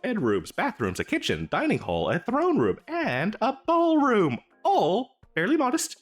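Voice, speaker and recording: Man acting a eager wizard merchant, very animated pitch, slightly husky, hesitant comedic fantasy patter. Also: unhurried, faint music behind, exaggerated voice. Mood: chatty, selling. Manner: chatty, stammering, hesitant sales patter. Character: eager, pushy wizard salesman hawking magical castles. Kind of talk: scripted comedic fantasy roleplay.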